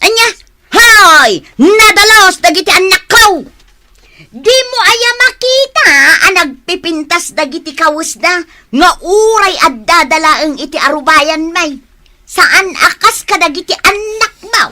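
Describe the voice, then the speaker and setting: A proud, touchy housewife in a radio drama. Her voice is extremely high and piercingly bright, leaping up and down as she exclaims in combative indignation. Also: animated, measured pace, heavily acted. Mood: indignant. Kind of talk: Ilocano radio drama acting.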